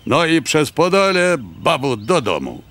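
An actor playing a blunt, folksy Russian major in a radio play, speaking halting, foreign-tinged Polish. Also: male voice, fairly high pitch, huge pitch swings, fairly bright tone, a little hiss or hum, heavily acted. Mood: blunt, matter-of-fact.

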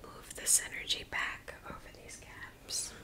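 A woman whispering slowly and soothingly as she guides a listener through a reiki session in an ASMR video. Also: sharp tone. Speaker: reiki practitioner.

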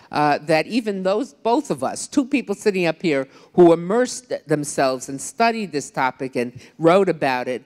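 A woman's voice: low voice, darkish tone. An older woman author and historian speaking on a panel, chatty and digressive with wry asides, into a handheld mic. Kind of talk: public panel discussion. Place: large lecture hall on stage. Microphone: handheld microphone. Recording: long hall reverb.

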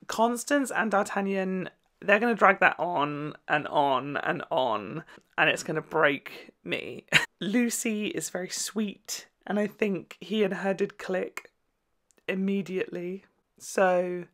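Slow-paced young man reacting casually. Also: high voice, gravelly, fairly bright tone. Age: 20s. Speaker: reaction video host. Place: small room at home, indoors.

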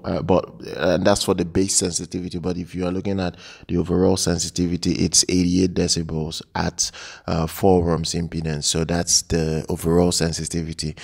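A man giving unscripted product news commentary in a halting, hesitant way, often repeating his phrases. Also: sits low, creaky.

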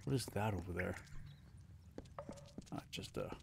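Gravelly-voiced man muttering over game play. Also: deep voice, huge pitch swings, measured pace, background noise. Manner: casual, mutters to himself while exploring. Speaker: streamer. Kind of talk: live gameplay commentary. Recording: game music behind voice.

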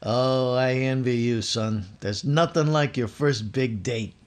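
A man's voice: mid-range voice, takes it slowly, lightly acted. A man playing a bumbling, sentimental working-class husband and father in a 1950s radio comedy, folksy and blustery. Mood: wistful. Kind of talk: 1950s radio sitcom acting.

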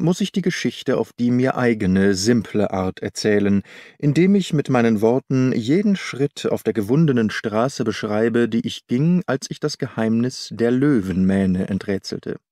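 A man reading a first-person literary story as an audiobook narrator, measured and articulate. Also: medium pitch, warm tone, no echo, clean studio sound.

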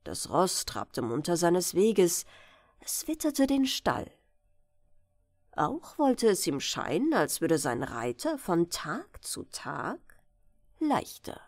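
A woman's voice: on the low side, huge pitch swings, slow pace, darkish tone, no echo. A woman narrating a bedtime fairy tale as an audiobook reader.

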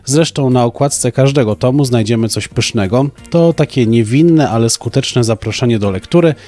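A man's voice: medium pitch, normal speed, mellow. Young man reviewing books over music. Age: young adult. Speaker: podcast co-host reviewing books. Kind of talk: prepared podcast book review. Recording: music playing behind the voice. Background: background music.

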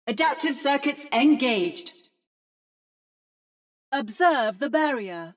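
High, slow-spoken female game robot. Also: lively intonation, faint music behind. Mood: precise, robotic. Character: adaptive female robot mimicking other fighters' battle cries. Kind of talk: video game character voice lines.

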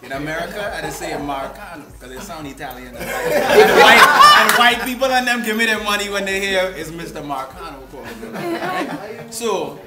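A man's voice: high-pitched, expressive, normal speed, a loud crowd, heavy background noise. A young man from Trinidad with a Trinidadian accent, talking in a sing-song, joking, laughing way. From Port of Spain, Trinidad. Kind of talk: casual chat. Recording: echoey room with loud music.

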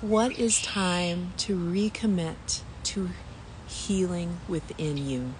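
Soothing lady doing guided meditation, super slow w long pauses, clear nature noise and birds in the background. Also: lowish voice. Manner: gentle, unhurried, with long pauses between phrases.

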